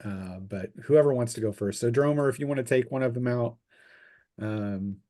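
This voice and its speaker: A man acts as game master for a tabletop roleplaying session, with a middle-pitched voice. He describes scenes off the cuff, feeling his way through the details in a halting delivery. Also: dark tone. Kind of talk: improvised tabletop game narration.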